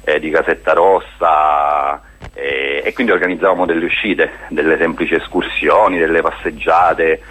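A man's voice: low voice, fairly bright tone. A man speaking as a guest in a radio interview, explaining a project in an earnest way. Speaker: guest, spokesman of a hiking association.

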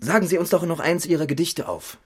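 A man's voice: on the high side, fast, breathy edge. An actor playing a guarded young Russian émigré man in a German radio drama.

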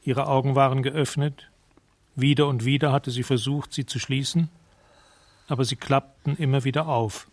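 An actor playing a detached narrator, giving sober, measured scene descriptions in a radio drama. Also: male voice, medium pitch, fairly even intonation, no echo, lightly acted.

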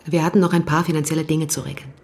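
A low-voiced, curt woman under questioning. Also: fairly even intonation, racing, darkish tone. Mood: defensive, irritated. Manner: dismissive, guarded, terse. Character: curt, unsentimental divorced mother under police questioning. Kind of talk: radio drama dialogue. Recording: music under the voice.

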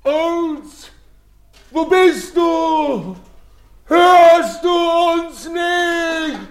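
An actor playing an anguished, guilt-ridden, dying polar expedition captain in a German radio play, with halting, brooding delivery. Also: male voice, very high voice, lively intonation, full character voice. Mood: desperate calling.

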